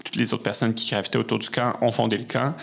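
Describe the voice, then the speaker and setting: A man's voice: mid-range voice, flat delivery, quick pace, gravelly, fairly bright tone. A man with a Québécois French accent talking casually as an interview guest, reflective and a little hesitant. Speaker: podcast guest, musician and storyteller.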